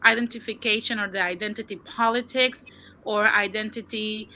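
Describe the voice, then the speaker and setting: A woman's voice: mid-range voice, fairly even intonation, normal speed, sharp tone. A woman guest on a livestream, giving her opinions in a casual talk and often restarting her points as she thinks them through.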